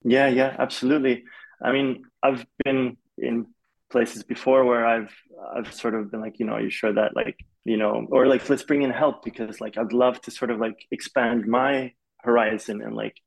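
Gravelly-voiced young man chatting loosely. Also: mid-range voice, moderate pace, poor audio. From Malaysia. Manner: relaxed, rambling with many "like" fillers. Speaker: film composer, guest. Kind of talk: casual interview chat.

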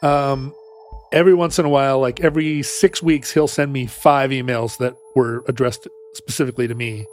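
A man's voice: mid-range voice, steady tone, normal speed. A man co-hosting a podcast, chatting casually and reading show notes with wry jokes and frequent laughter. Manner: wry, laughs often, rambling. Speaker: podcast co-host.

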